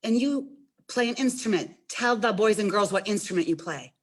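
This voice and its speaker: Woman hosting children's storytime, medium pitch. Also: lively intonation, moderate pace. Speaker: children's library storytime host. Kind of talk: simple interview for young children.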